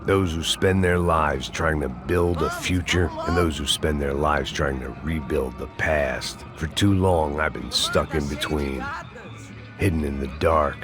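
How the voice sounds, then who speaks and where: A very deep, gravelly voice of a jaded, middle-aged ex-cop, narrating with dry cynicism. This is hardboiled video game narration, with music playing under it. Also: male voice, unhurried. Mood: weary. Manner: cynical, dry, world-weary. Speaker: narrator and lead character.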